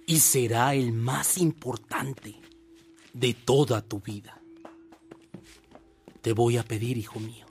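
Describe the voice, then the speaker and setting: An actor playing a proud, fatherly mentor, speaking tenderly and solemnly in a radio drama. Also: mid-range voice. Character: proud, fatherly older mentor of a young priest.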